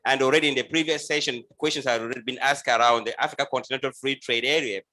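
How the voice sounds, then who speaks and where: A man's voice: medium pitch, steady tone, very fast, pushed and bright. A man from Uganda moderating a panel, introducing speakers and often restarting his sentences. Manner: stumbling restarts, repeats words.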